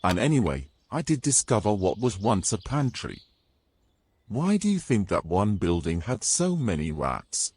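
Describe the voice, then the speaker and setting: A British man playing a bold, gruff, teasing knight in a fantasy audio drama, with a wry, brash manner and old-fashioned turns of phrase. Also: on the low side, very animated, unhurried, a little mellow, no echo, heavily acted.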